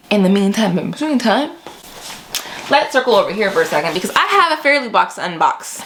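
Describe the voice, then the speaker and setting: A woman's voice: mid-range voice, a bit bright, slight background noise. A young woman vlogging in a casual, chatty and informal way, using slang and addressing her viewers as friends. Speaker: book vlogger. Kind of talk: casual vlog chat.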